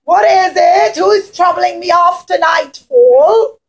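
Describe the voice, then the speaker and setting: Woman playing a haughty male householder, indignant, drawn-out exclamations, high-pitched, children's storybook tale. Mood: indignant, rude. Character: rude rich man, indignant, gruff householder.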